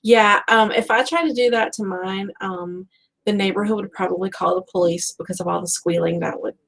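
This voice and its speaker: A woman's voice: medium pitch, fairly even intonation, a little fast, gravelly. A woman hosting a casual book chat, rambling along in a conversational, self-deprecating way. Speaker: host of a book fan live show.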